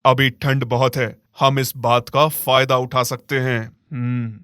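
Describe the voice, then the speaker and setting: A man's voice: mid-range voice, little pitch movement, slow pace, bright, forceful tone, clean tone, dry recording, studio quality. A man playing a greedy, callous husband in a cartoon moral tale, smug and scheming. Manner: smug, scheming, with villainous hums.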